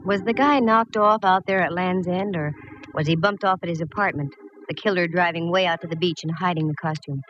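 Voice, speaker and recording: A muffled old radio recording with faint hiss carries a wry, plucky woman private eye played by an actress. Her voice sits fairly low, and she wisecracks through her detective story in 1940s radio style. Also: brisk, a bit bright, close to an everyday voice. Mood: wry narrating. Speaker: actress narrating and playing lead.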